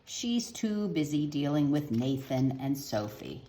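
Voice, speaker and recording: A woman with a slightly low voice reads a picture book aloud for children. Her phrasing is clear and expressive, as in storytime. The sound is roomy, with noticeable echo around the voice. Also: measured pace, a little hiss or hum.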